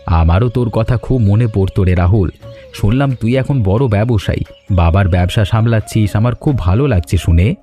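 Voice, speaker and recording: A protective, hard-working elder brother in an animated story, played by a man. He speaks earnestly and familiarly in a low, dark tone, with soft music underneath. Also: normal speed, close to an everyday voice. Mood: surprised, fond. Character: self-sacrificing, protective big brother, hard-working garage owner.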